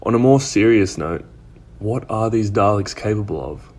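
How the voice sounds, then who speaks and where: Man playing a deep-voiced, sceptical human companion. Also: animated, moderate pace, a little mellow, a little hiss or hum, close to an everyday voice. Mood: concerned. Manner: questioning, incredulous. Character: astonished, sceptical human man caught up in alien invasion. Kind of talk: fan audio drama acting.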